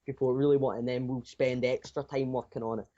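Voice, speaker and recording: A man's voice: mid-range voice, level tone, brisk, mellow. Teen guy in a casual interview, rambles and repeats himself a lot, muffled lo-fi sound. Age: 16, teenager. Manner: rambling, repeats and restarts phrases. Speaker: self-taught indie game developer being interviewed. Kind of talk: casual unscripted interview answers.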